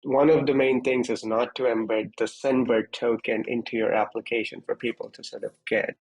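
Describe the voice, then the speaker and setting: Husky-voiced man explaining things. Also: mid-range voice, rough recording. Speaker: interview guest, security executive. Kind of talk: conversational technical explanation.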